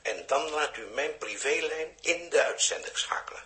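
An actor playing a reserved, authoritative man in a 1960s radio play, speaking in a measured way. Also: on the high side, animated, bright, forceful tone, a little hiss or hum. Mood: calm, decisive. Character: reserved, commanding male mastermind who stays behind the scenes. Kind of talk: 1960s Dutch science fiction radio play acting.

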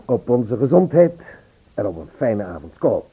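Tinny, lively, charming man. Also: medium pitch, normal speed, breathy edge, exaggerated voice. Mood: genial. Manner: suave, effusive. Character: Louis, charming, flattering ex-husband and composer. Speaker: radio play actor. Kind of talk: radio play acting. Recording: muffled, phone-like old radio recording.

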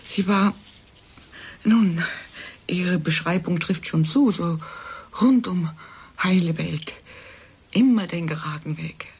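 A composed, middle-aged professional woman in a naturalistic radio play. Her voice sits a little low and is strikingly husky and breathy. She speaks with restraint, halting and close to whispering. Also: darkish tone, full character voice. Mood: shaken, halting. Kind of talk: German radio drama acting, naturalistic dialogue.

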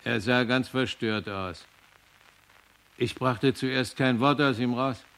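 A sober seaman witness reports in a radio drama. The voice is smooth, resonant and of middle pitch. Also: takes it slowly, fairly bright tone. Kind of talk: radio play testimony.

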